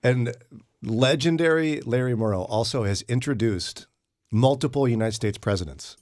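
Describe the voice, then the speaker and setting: A man's voice: mid-range voice, normal speed, a little mellow. A man hosting a morning radio show, bantering playfully and teasing his guest. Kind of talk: casual radio chat.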